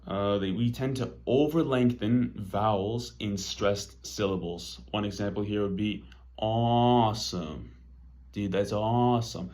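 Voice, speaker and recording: A young man with a Los Angeles accent talks through a class presentation in a relaxed, slangy way, full of fillers. His voice sits low, and he takes his time. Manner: casual, slangy, with many fillers. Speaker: student presenter.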